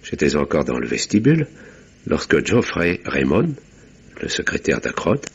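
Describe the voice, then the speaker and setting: An actor playing a weary, reserved country doctor who narrates a radio mystery in a measured, formal, literary way. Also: male voice, rumbling low, very animated, creaky, breathy edge, dull audio, exaggerated voice. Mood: grave, measured. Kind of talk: French radio mystery drama acting and narration.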